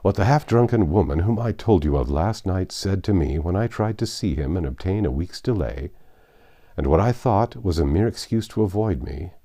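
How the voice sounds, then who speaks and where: A man's voice: low voice, mellow. A man voicing a careworn, serious young husband in a storybook reading.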